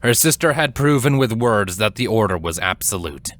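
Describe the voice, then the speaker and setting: A man reading scripted dramatic fiction as a narrator, in a measured, solemn storytelling manner. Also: lowish voice, lively intonation, fairly bright tone.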